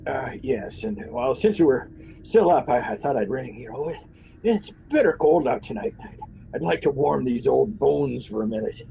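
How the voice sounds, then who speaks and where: Old beat cop, folksy, hesitant, polite, animated pitch, dull vintage radio sound. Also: male voice, moderate pace, gravelly, a little hiss or hum. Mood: apologetic, friendly. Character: genial, aging beat policeman, hesitant and polite. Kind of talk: 1940s radio drama acting. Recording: dull old radio recording, music behind.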